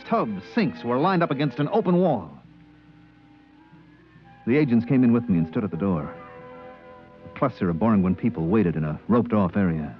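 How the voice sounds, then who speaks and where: Male actor as outspoken space crewman, dramatic and lively, vintage radio sci-fi, dull recording with faint music. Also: medium pitch, close to an everyday voice. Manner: emphatic, questioning, dramatic. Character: incredulous, outspoken male spaceship crewman telling his story. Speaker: actor narrating and playing the lead. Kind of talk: 1950s radio drama acting.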